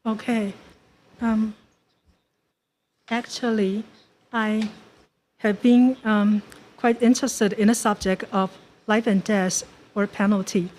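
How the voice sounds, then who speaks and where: A woman interpreting at a forum, speaking haltingly in short pieced-together phrases. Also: mid-range voice, fairly even intonation, darkish tone, low-quality recording.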